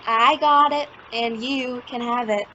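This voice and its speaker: A woman's voice: fairly high pitch, fairly even intonation, unhurried, bright, forceful tone. A woman playing a skeptical, tart teenage daughter in an old-time radio sitcom, challenging in manner. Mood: tart, dry. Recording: dull, noisy lo-fi radio play recording.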